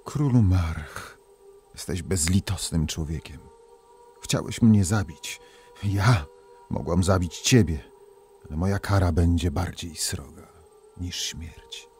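A man voicing a stern ghostly young princess in a storybook tale, with slow, grave pronouncements. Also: sits low, darkish tone, voice pushed down. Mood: cold, grave.

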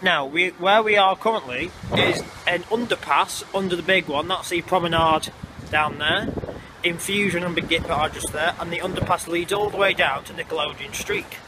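A man giving chatty, explanatory walking-tour commentary, recorded outdoors on a handheld camera. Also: high-pitched. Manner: chatty, explanatory, a bit halting. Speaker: vlogger. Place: outdoors in urban streets and underpass. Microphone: handheld camera mic. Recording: noisy outdoor street sound. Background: traffic and street ambience.

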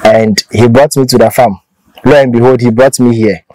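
A man's voice: mid-range voice, fairly even intonation, normal speed, warm tone, no room sound, poor audio. A man in his thirties from Ghana, a farmer telling his story in a casual interview, easygoing and restarting often, recorded outdoors under a thatched shelter on a lapel mic.

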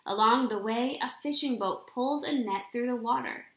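A young adult woman reading a children's picture book aloud in an expressive, deliberate storybook delivery, recorded in a room at home. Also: on the high side. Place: small indoor room at home. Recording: roomy, echoing home webcam recording.

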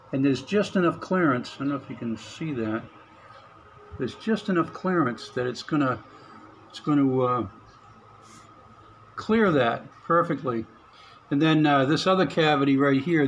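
A man with a medium-pitched voice talks casually through a hands-on electronics job. He speaks haltingly, working it out aloud as he goes. The recording carries some faint background noise. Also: rough recording. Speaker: hobbyist narrating an electronics modification. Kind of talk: casual unscripted how-to commentary. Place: indoors at a work table.

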